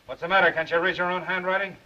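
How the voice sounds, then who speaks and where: An actor playing a tough-talking, insistent newspaper reporter in a 1930s crime film, on a muffled old soundtrack. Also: male voice, sits high, level tone, racing, pushed and bright. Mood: tough, impatient.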